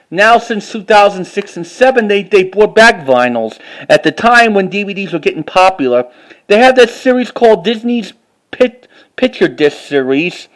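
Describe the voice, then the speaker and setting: A man with a halting, word-repeating way of talking, doing a casual show-and-tell like an enthusiastic collector. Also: sits high, poor audio. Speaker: vlogger.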